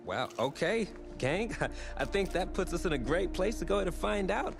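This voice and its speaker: A man's voice: high voice, sing-song, moderate pace, vocal fry, music in the background, full character voice. An actor playing an upbeat male sports presenter interviewing players in a scripted game scene.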